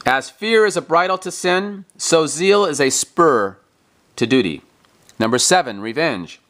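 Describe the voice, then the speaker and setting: A man reading a religious text aloud like a preacher, in deliberate, emphatic, declamatory phrasing. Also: a little high, lively intonation, fairly bright tone. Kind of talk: scripted devotional reading, sermon-like.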